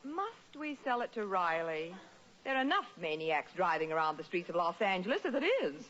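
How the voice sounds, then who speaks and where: An actress playing a polite, sensible suburban wife in a 1940s radio comedy style. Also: female voice, lowish voice, very animated, a little fast, crowd noise. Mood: sensible, dry. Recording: muffled old radio recording.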